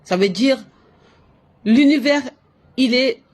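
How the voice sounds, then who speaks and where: A woman's voice: high-pitched, takes it slowly. A woman from Latin America talks straight into her phone or webcam on a live stream, in French. Her delivery is emphatic and looping, and she repeats words, restarts her sentences and keeps coming back to the same fillers. She sounds like a spiritual streamer giving her viewers advice. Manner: emphatic, repetitive, uses many fillers and restarts. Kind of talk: casual live-stream monologue.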